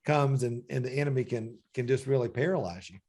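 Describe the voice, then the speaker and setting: A man's voice: medium pitch, fairly quick, a little mellow. A man in his forties hosting a faith-based interview, talking reflectively and often saying "you know.". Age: 40s. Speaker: podcast host.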